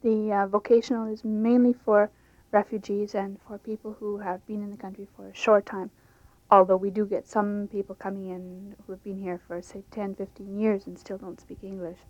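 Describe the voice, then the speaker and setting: A woman instructor talks through her programs in a plain, matter-of-fact way. Her voice stays fairly even, and it sounds dry and dull, like an old lo-fi radio tape. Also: medium pitch, moderate pace, dry recording. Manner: plain-spoken, explaining with false starts. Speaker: English language instructor. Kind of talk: interview answers, unscripted.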